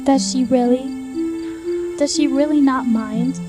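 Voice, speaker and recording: A cryptic teenage girl, played by a woman in an amateur radio drama. Her high voice is deadpan and measured, over loud music. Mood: calm, cryptic. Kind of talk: amateur anime-style radio drama acting.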